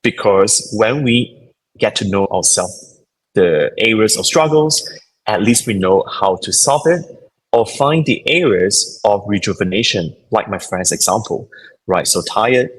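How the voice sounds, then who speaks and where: A man's voice: lowish voice, lively intonation, measured pace, a little hiss or hum, low-quality recording. A man who grew up in Singapore, a guest coach on a podcast, explaining his ideas thoughtfully with frequent fillers. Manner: thoughtful, explanatory, with fillers and non-native grammar. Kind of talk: conversational interview answers.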